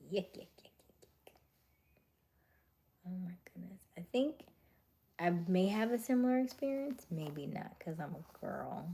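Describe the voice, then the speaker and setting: A woman with a low, mellow voice that has a noticeable gravelly fry chats casually with her viewers. She is relaxed and talkative, often dropping into a soft whisper between louder remarks. She sounds like a young adult speaking into a simple home mic. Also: a little slow, pauses often. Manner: chatty, laughs often, drops to soft whispers. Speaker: livestream host chatting with viewers. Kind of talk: casual live chat reading viewer comments.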